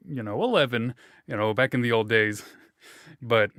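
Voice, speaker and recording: A man's voice: medium pitch, normal speed, a bit bright, no echo, studio quality. A man hosting an interview, asking playful questions in an easygoing, joking way with many fillers. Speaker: interviewer, host.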